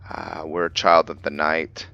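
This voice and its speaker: A man with a deep voice narrates his game role-play casually. He speaks very slowly, musing aloud as "we". The recording is a little dull. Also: little pitch movement, sharp tone, smooth, dry recording. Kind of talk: casual playthrough commentary.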